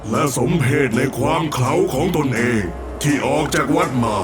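A man voicing an evil demon abbot in an animated horror story, with dramatic delivery and loud music underneath. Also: medium pitch, lightly acted. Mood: menacing contempt. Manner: menacing, slow, dramatic delivery. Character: evil demon abbot monk of a forest temple, cruel, contemptuous.

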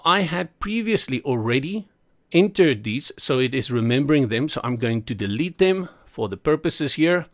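A man with a South African accent whose first language is Afrikaans, walking through a screen-recorded web design tutorial in a chatty way, correcting himself as he clicks through each step. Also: mid-range voice.

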